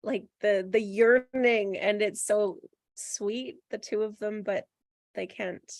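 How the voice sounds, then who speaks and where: Woman, mid-pitched, enthusiastic, informal chat, very little room sound. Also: fairly even intonation, slow pace, dry recording, studio quality. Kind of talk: casual group chat.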